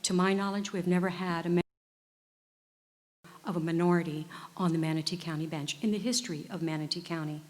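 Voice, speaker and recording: A woman's voice: lowish voice, fairly even intonation, brisk, darkish tone. Earnest woman candidate, reverberant hall. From United States. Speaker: judicial candidate. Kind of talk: candidate forum answer. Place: performing arts center stage. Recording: reverberant auditorium.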